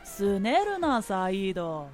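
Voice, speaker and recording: A woman's voice: mid-range voice, big pitch swings, takes it slowly, a little mellow. A woman playing a brusque, scheming, imperious man in a radio drama, with blunt, commanding masculine speech. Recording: faint music under the voice.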